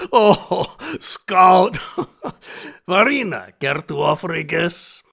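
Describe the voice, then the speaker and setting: A genial, grandiose big-game hunter in an audio drama. His voice is husky and sharply bright, and he speaks slowly with courtly, theatrical emphasis. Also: sits high, heavily acted. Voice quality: gravelly, husky.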